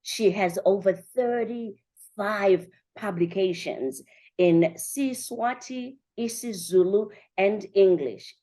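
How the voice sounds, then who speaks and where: A woman's voice: medium pitch, dark tone. A woman hosting an upbeat podcast interview show, chatty and enthusiastic, speaking straight to her listeners. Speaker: show host and conference organiser.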